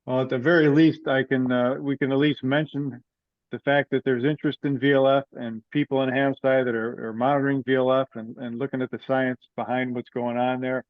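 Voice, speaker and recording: A man's voice: mid-range voice, flat delivery, a little fast, no room sound. A man leading an informal conference call meeting, speaking conversationally. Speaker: meeting leader. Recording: dry, slightly dull call audio.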